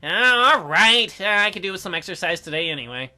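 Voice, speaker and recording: Male let's play host, bright and animated, casual reading with mock-incredulous commentary. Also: high voice, fast, soft background music. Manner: joking, mock-incredulous asides.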